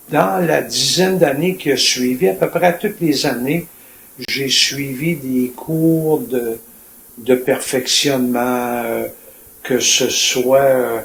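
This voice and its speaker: An older man in his seventies from Quebec, with a Quebec French accent, telling his life story in a reflective, colloquial, measured way as a retired police officer. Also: mid-range voice, creaky. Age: 70s. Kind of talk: personal storytelling in an interview. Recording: echoey room with faint noise.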